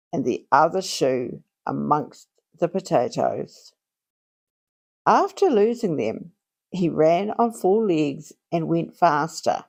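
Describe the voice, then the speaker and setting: A woman host reading aloud to young children in an expressive, deliberate storytelling style. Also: fairly low pitch.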